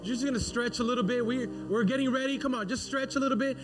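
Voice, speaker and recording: A male pastor with a notably high voice urges his congregation on with energy. He speaks from the front of a church sanctuary, with music playing clearly behind him. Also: fast. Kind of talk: church service announcement.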